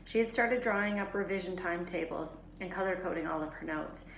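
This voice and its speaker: A woman reading a children's novel aloud as a teacher, in expressive storybook narration. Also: fairly low pitch, a little fast. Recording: echoey room, faint background noise.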